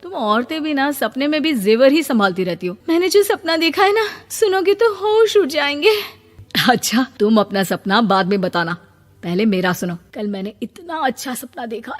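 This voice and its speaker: A woman's voice: on the high side, big-room sound, natural voice. A woman voicing a cheerful young husband in a cartoon, boasting in an upbeat way.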